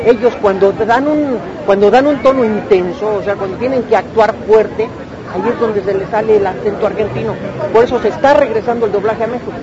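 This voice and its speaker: Mexican man speaking over a loud crowd. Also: high voice, brisk. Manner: earnest, explaining at length. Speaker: striking voice actor. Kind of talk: street protest interview. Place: outdoor street protest. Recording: muffled street recording with loud crowd.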